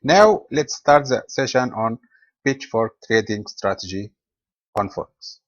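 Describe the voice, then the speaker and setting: A man from Egypt hosts an online trading webinar, reading his lesson at a steady, even pace with slightly non-native phrasing. The recording is dry and somewhat dull, with a mid-range voice. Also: medium pitch, unhurried, no room sound. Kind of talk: scripted webinar lecture.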